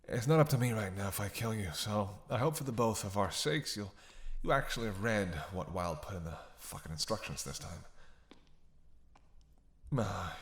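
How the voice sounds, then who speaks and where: Male actor as captive male vampire for audio roleplay: starving, sardonic, teasing menace, very slow halting delivery, lowish pitch. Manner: sardonic, halting, breathy sighs between phrases. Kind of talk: roleplay audio drama monologue.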